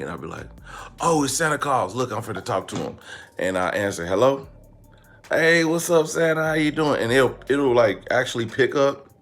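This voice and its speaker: American man, online commentary host, gravelly creak, slangy, blunt and joking, leans on repetition. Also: on the low side. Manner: animated, repeats phrases for emphasis. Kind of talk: casual comedic commentary.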